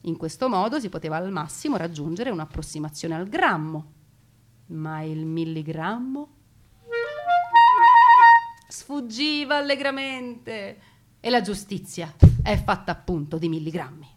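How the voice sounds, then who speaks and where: A woman narrates a scripted story in a theatrical way. Her delivery is deliberate and emphatic, with a mock-solemn gravity suited to stage storytelling. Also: on the low side, expressive, soft background music.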